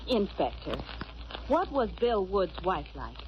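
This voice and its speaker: An actress playing a loyal, quick-witted, composed young sweetheart of a detective in 1940s radio style. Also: female voice, medium pitch, very animated. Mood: inquisitive. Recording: muffled old radio recording, faint hiss.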